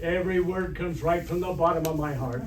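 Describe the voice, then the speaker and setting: A man's voice: on the high side, a little fast, natural voice. A man playing a pompous, blustering, hard-of-hearing school principal in an old-time radio comedy on stage. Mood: oblivious. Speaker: amateur stage actor. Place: live stage performance in a hall. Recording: echoey hall, distant mic, faint noise.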